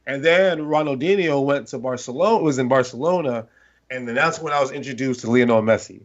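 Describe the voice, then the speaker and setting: A man's voice: mid-range voice, brisk. Rambling man on a dull podcast mic. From born in London, Nigerian family. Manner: rambling, animated storytelling with restarts. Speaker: podcast co-host. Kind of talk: casual sports chat. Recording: slightly dull lo-fi podcast audio.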